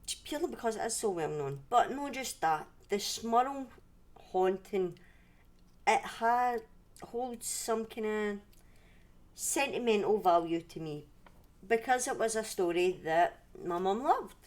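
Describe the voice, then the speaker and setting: A woman's voice: medium pitch, lively intonation, a little slow, a little mellow. A woman with a Scottish accent hosting a livestream in a casual, rambling way, often breaking off and restarting her sentences. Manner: rambling, self-interrupting, conversational. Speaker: livestream host and storyteller. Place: indoors at home.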